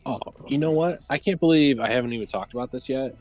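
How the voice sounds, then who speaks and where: A man's voice: medium pitch, quick pace. A man talking informally as a panelist in a casual live-streamed group chat.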